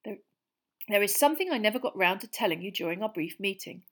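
A woman's voice: mid-range voice, animated, fast talker, a bit bright. A woman reading out a letter from a fugitive godfather, earnest and a little raised, in a storybook reading. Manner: earnest, letter read aloud. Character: Sirius, fugitive godfather writing a caring letter.

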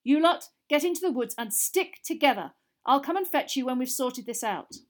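A woman reading aloud and voicing a middle-aged father wizard shouting urgent orders over noise. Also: high-pitched, expressive, moderate pace, pitched above the performer's own voice.

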